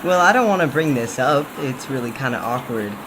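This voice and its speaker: Casting: male actor for slangy teen best pal, mid-pitched, blunt and teasing, comic dub, noisy audio. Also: lively intonation, brisk, lightly acted. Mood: awkward, gossipy.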